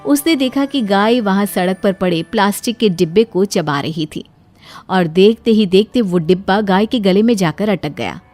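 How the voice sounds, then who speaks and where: A woman's voice: medium pitch, lively intonation, normal speed, darkish tone, soft background music. A woman narrating a scripted moral story as a storyteller.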